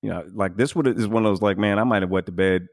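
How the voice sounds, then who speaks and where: Low-voiced man, rambling podcast host. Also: fast talker, no echo, studio quality. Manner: rambling, many fillers and restarts. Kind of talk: casual podcast chat.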